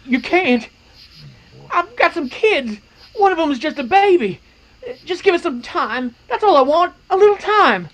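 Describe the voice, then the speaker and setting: A woman's voice: extremely high pitch, big pitch swings, measured pace, exaggerated voice, poor audio. A woman playing a desperate young accused rancher in a radio western, urgent and imploring. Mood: desperate pleading. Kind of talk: old-time radio drama acting.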